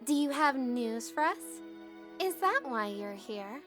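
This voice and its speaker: Lady doing bossy teen little sister, super high voice, swoopy, audio drama. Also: big pitch swings, unhurried, fairly bright tone, faint music behind, heavily acted. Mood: demanding. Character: feisty, bossy teenage younger sister.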